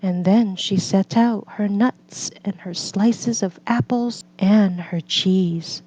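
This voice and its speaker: A woman reading a picture book aloud as a storyteller, in gentle, deliberate phrases with drawn-out pauses. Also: mid-range voice. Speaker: read-aloud storyteller for children and English learners. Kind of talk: slow scripted picture-book narration. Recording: slightly dull, lo-fi recording.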